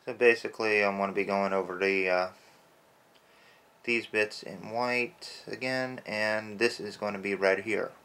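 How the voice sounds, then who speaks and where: A man with a lowish voice talks softly while painting a toy at his desk. He wanders from thought to thought, with plenty of fillers. A slight roughness sits in his throat from allergies. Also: steady tone, unhurried. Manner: soft-spoken, rambling with fillers. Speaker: toy customizer. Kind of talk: casual hobby narration while painting.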